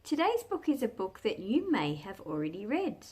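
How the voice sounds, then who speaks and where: An Australian woman hosting a children's read-aloud, telling stories and explaining them in simple, measured phrases with questions to her listeners. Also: mid-range voice, wildly swooping pitch, darkish tone, breathy edge.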